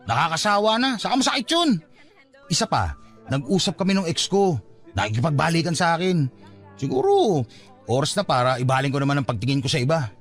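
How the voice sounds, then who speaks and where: A man's voice: on the high side, expressive, brisk, soft background music, lightly acted. An actor playing a hurt, fed-up young father in an emotional, reproachful Filipino radio drama style. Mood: frustrated, hurt. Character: hurt, fed-up young father giving up on his unrequited love.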